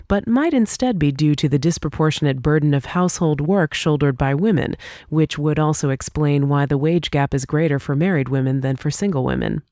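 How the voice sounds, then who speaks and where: A woman reads a scripted essay as an audiobook narrator, in a measured, clearly articulated way. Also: low-pitched, warm tone, dry recording, clean studio sound. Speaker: audiobook reader.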